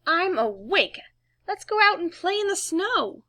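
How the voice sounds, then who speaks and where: A woman's voice: very high voice, very animated, measured pace, sharp tone, pitched above the performer's own voice, full character voice. A woman voicing an eager, forgetful young male frog with a childlike, exclaiming manner in a storybook. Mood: excited, loud.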